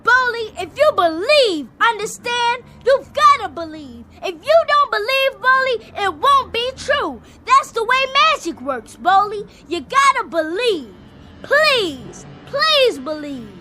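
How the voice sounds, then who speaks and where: A woman's voice: very high-pitched, sing-song, slow, music in the background, full character voice. A woman playing an earnest, pleading young boy in a radio drama. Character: earnest young boy who believes in magic.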